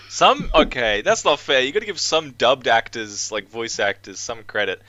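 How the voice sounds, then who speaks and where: A man hosting a podcast, chatting in a relaxed, hesitant way with filler words. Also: medium pitch, a little slow, no echo. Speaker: podcast host. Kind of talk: casual interview chat. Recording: dull dry lo-fi mic.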